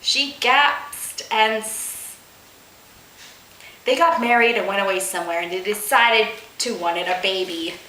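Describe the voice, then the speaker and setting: A woman's voice: medium pitch, unhurried, pushed and bright, roomy sound, slight background noise, rough recording. A woman hosting a comic read-aloud of amateur fan fiction, giggly, mock-dramatic and incredulous in her delivery.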